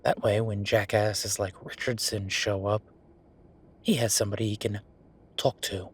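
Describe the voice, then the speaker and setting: A man performing a roleplay audio drama as an exasperated werewolf official venting to his partner, weary, sardonic and conversational with emphatic pauses. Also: fairly low pitch, little pitch movement, breathy edge.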